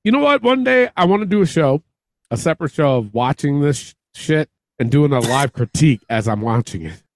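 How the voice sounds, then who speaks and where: A man hosting a casual wrestling podcast, excitable and swearing freely. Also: on the high side, fairly quick. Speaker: podcast host.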